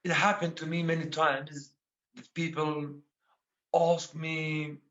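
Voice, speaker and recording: Slow, halting Afghan poet with an Iranian accent. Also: male voice, on the high side, little pitch movement, a bit bright, lo-fi sound. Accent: Iranian-accented English. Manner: halting, many filler pauses. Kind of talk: panel talk about his life and poetry.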